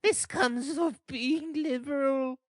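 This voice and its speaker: A man's voice: very high voice, fairly even intonation, takes it slowly, no echo, clean studio sound, pitched above the performer's own voice. A man voicing a flustered, scolding undertaker's wife in a storybook reading, exclamatory and dramatic. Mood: dismayed.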